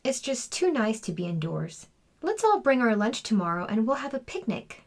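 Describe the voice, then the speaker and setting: A woman's voice: fairly high pitch, very animated, normal speed. Kindly woman schoolteacher, as a reader voices her. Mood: encouraging. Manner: encouraging, addressing a class of children.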